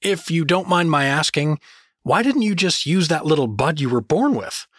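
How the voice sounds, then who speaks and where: Wisecracking, animated hapless hero. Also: male voice, fairly high pitch, normal speed, no echo, studio quality. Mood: hesitant, joking. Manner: sarcastic, hesitant, joking. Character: wounded, wisecracking hapless everyman hero in fantasy. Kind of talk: comedic audio drama acting.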